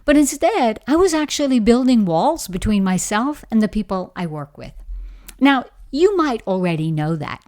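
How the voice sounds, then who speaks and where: A woman's voice: fairly high pitch, very animated, normal speed. A middle-aged woman with a Bahamian accent giving an encouraging, emphatic motivational talk on leadership. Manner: warm, encouraging, emphatic storytelling. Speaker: leadership coach.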